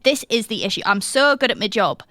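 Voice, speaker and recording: A woman voices a creepy, bony little man in a comedy role-play game. He is defensive and halting, circling back on his own words. The voice is bright and fairly high, recorded very dry. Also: animated, dry recording. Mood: defensive, pleading. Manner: halting, wheedling, repeats himself. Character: creepy, defensive, bony little male dentist who loves teeth.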